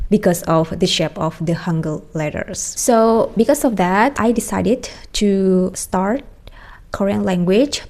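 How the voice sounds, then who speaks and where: Young woman, Indonesian accent, unhurried and thoughtful, mid-pitched, speaking to camera in a small room. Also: darkish tone. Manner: slow, careful, thoughtful with frequent pauses and restarts. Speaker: language learning vlogger. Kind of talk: casual talk to camera.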